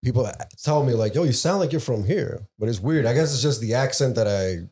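A man's voice: mid-range voice, lively intonation, brisk, darkish tone. A Dominican man on a podcast, blunt and emphatic, swearing freely in a casual studio chat. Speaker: guest podcaster. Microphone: boom mic on arm.

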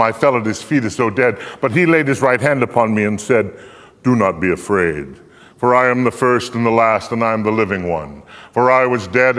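An older man recites scripture as live drama, his voice mid-pitched and ringing with long hall reverb. He is solemn and declamatory, stretching phrases out for emphasis. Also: fairly bright tone. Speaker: dramatic reader on stage. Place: theater stage, indoors.

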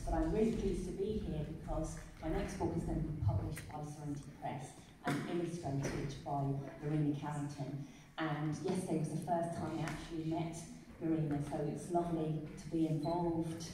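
A middle-aged English woman, an author and storyteller, telling lively, self-mocking stories to a seated audience at a writers' event. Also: low-pitched. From England. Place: large glass conservatory with a seated audience. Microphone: podium mic heard from the back of the room. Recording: echoey hall from far off, noisy.

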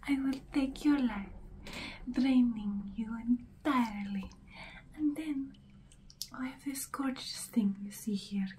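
Centuries-old female vampire, played by a woman, ASMR roleplay: menacing, condescending, breathy whisper, extremely unhurried delivery. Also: on the high side, warm tone, a little hiss or hum. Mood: menacing, scornful, then haughty, deliberate. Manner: slow, deliberate, taunting, condescending.

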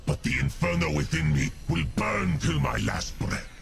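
A menacing demon of metal and flame from a video game. The voice is an extremely gravelly growl that swings wildly in pitch. It speaks slowly and grandly, full of contempt, with faint music behind it. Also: male voice, huge pitch swings, full character voice. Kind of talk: video game character voice lines.

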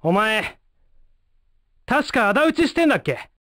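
Brash young fighter with swooping pitch. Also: male voice, very animated, measured pace, fairly bright tone, no echo, studio quality, exaggerated voice. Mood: world-weary, frank. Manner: rough, slangy, breezy speech. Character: brash, cocky young male fighter, reformed avenger. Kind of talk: Japanese fighting game voice acting.

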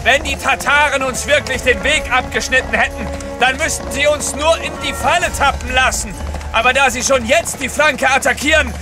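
Bold Russian guide, audio drama, high voice, commanding, self-assured, music behind. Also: male voice, normal speed. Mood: urgent. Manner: decisive, commanding, sure of himself. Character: bold, resolute Russian courier posing as merchant guide.

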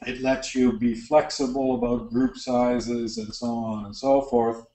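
A middle-aged man with a Canadian accent gives a practical slide lecture on barn design, relaxed and full of fillers and restarts. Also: mid-range voice, measured pace. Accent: Canadian English. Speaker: dairy barn design consultant. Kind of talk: lecture narration over slides. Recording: roomy echo.

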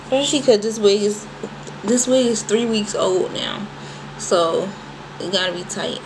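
A woman's voice: mid-range voice, a little slow, background noise. A young woman in her twenties talking casually and animatedly to viewers, calling them y'all, while filming a vlog in her bedroom on a handheld phone. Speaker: vlogger. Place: small bedroom, indoors. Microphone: handheld phone camera, then propped on desk.

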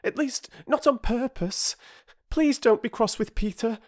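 A man's voice: extremely high pitch, very animated, moderate pace, dry recording, studio quality, pitched above the performer's own voice. A man voicing an earnest, polite young girl who pleads breathlessly. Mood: pleading.

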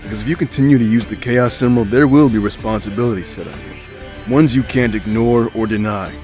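A man playing a grave, stern mentor in an audio drama, speaking in a solemn, measured and insistent way. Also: medium pitch, darkish tone, soft background music, close to an everyday voice. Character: grave, stern male mentor of a chosen hero. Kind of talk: scripted audio drama acting.

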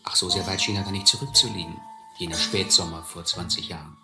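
A middle-aged actor playing a reflective man who recalls his boyhood, narrating a radio play in measured, literary style. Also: sits low, steady tone, over music. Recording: echoey old recording, faint noise.

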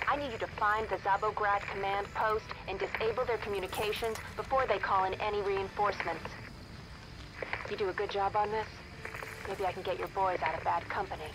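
A woman's voice: mid-range voice, fairly even intonation, brisk. A woman playing a curt, demanding military commander giving clipped orders over the radio in a video game. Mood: businesslike. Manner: clipped, authoritative radio procedure. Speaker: military mission controller. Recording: battle noise behind radio voice.

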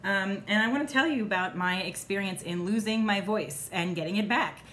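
A woman in her thirties chatting casually to camera in her home kitchen, her voice still slightly hoarse as it recovers, rambling with lots of fillers. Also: medium pitch, normal speed, a bit bright, a little hiss or hum. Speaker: vlogger. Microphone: phone or camera at arm's length.

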